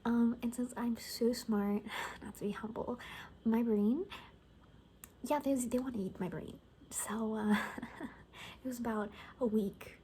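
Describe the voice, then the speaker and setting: A woman doing a soft-spoken, breathy ASMR roleplay as a cocky, gossipy apocalypse survivor, often dropping into a whisper and rambling casually. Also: fairly high pitch, steady tone, slow pace, long pauses, a little hiss or hum.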